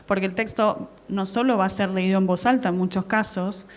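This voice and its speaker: A woman translator and teacher, explaining her ideas clearly on a panel, with occasional fillers. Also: mid-range voice, steady tone, a little fast. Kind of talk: panel discussion. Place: conference hall at a book fair.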